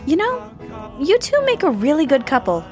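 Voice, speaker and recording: A woman's voice: sits high, wildly swooping pitch, normal speed, over music. An actress playing a sheepish, sentimental woman in an audio drama. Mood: sheepish, fond.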